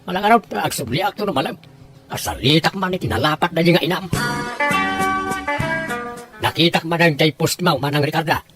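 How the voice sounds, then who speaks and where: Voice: medium pitch, a little fast, pauses often, over music, close to an everyday voice. A performer playing a teasing, coaxing adult man in an audio drama, in a conversational manner. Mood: inquiring. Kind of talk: Ilocano audio drama dialogue.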